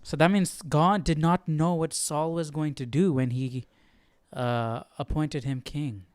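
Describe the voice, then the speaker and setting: Guy on a chill study stream, slightly high smooth voice, keeps questioning stuff out loud, dry mic. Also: steady tone, a little slow, no echo. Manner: questioning, thinking aloud. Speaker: host of a Bible study stream. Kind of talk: casual live commentary, reading aloud.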